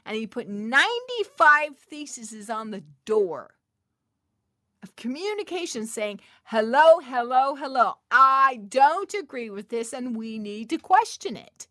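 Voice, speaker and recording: Slow, soft-spoken woman telling a testimony. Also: high-pitched, sing-song, no room sound, clean studio sound. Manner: soft, intimate storytelling with laughs. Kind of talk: testimony sermon.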